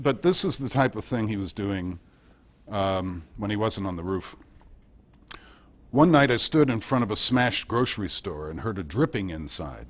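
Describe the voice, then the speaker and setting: A man giving an informal history lecture at a club meeting in a halting manner, recorded by an amateur. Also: fairly low pitch. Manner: halting, with pauses and quoted passages read aloud. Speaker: guest speaker at a club meeting.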